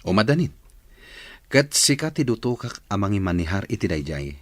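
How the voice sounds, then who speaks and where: A man's voice: lowish voice, very animated, normal speed, no room sound. A man with an Ilocano accent playing a devoted, generous suitor in a radio drama, speaking tenderly and earnestly. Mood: eager, friendly.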